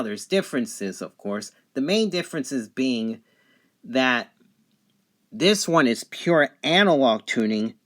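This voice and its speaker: A man giving an unscripted product review, speaking haltingly in short phrases with pauses between them. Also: medium pitch, a bit bright. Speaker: gadget reviewer. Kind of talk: unscripted product review, describing features on camera.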